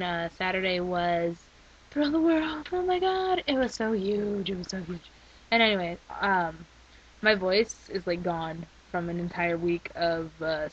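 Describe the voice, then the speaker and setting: A young woman rambling in a casual video diary, her voice worn from a week of shouting. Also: mid-range voice, slight background noise. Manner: chatty and rambling. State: losing her voice. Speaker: vlogger. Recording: slightly dull lo-fi recording.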